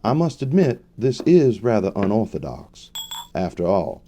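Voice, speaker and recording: A man's voice: fairly low pitch, sing-song, measured pace, dark tone, a little hiss or hum. A man playing a stiff, uneasy older judge in an audio drama, formal and reserved. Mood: guarded.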